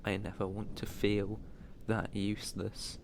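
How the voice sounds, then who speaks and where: A low, even British male voice as a man acts out a protective vampire master in an ASMR roleplay. He is soft-spoken and deliberate, haunted but tender, keeping his pitch in a narrow band. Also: creaky, slight background noise. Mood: haunted, solemn. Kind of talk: intimate ASMR roleplay monologue.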